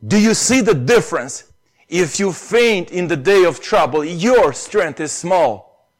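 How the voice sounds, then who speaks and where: A man's voice: high-pitched, lively intonation, measured pace. A man with a Romanian accent preaching a sermon earnestly, pressing hard on key phrases. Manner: earnest, rises to emphatic stresses on key phrases.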